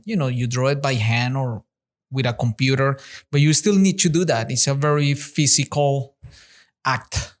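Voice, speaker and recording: A man hosting a podcast, eager and encouraging as he asks questions and adds his own thoughts. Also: mid-range voice. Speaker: podcast host. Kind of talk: conversational interview.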